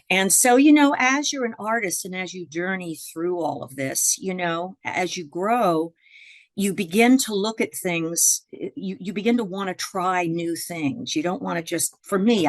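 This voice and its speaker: A woman's voice: on the low side, dry recording. A woman jazz singer and songwriter, a guest in a conversational interview, telling rambling stories with many fillers.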